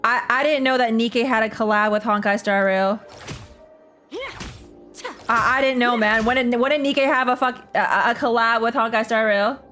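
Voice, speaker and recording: A woman's voice: on the high side, fairly even intonation, normal speed, sharp tone. A woman streamer giving casual, slangy, jokey reactions during a game playthrough, often repeating herself. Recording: faint game music behind. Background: game music.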